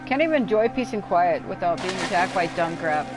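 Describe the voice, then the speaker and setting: A woman doing casual, rambling livestream commentary while playing a video game, informal and quick to exclaim at what happens. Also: lowish voice, animated. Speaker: game streamer. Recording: game music behind the voice. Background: video game music.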